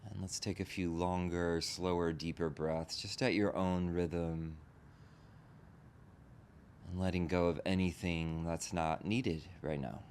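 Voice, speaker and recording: A man with a low voice teaches a guided meditation. He gives gentle instructions in short phrases with space between them. A faint rumble and noise sit behind his voice. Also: steady tone, slow. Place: group meditation session in a room.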